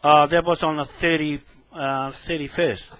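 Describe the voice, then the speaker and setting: A man with a New Zealand accent talking on the telephone in a halting, repetitive, rambling way. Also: on the high side, fairly even intonation, a bit bright. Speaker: caller with a complaint. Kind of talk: phone conversation.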